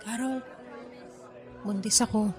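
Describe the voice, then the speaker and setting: A woman's voice: medium pitch, fairly even intonation, slow pace, darkish tone, soft background music, a faint crowd, close to an everyday voice. A woman playing a troubled, defiant, pregnant young woman with an emotional, pleading manner in a Tagalog radio drama, with a Filipino accent. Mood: downcast. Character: troubled pregnant nineteen-year-old woman, defiant and wounded.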